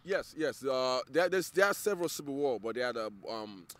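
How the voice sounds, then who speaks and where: A man's voice: mid-range voice, expressive, fairly quick, a bit bright. A man from Nigeria, an interview guest, talking earnestly and restarting words as he searches for them. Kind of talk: casual interview conversation.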